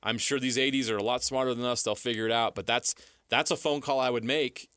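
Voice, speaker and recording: A man's voice: mid-range voice, steady tone, brisk, a bit bright, clear, resonant tone, dry recording, clean studio sound. An American man co-hosting a sports talk show, pressing his case insistently and repeating points for emphasis. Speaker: sports radio co-host. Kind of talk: sports talk, making a pitch to a co-host.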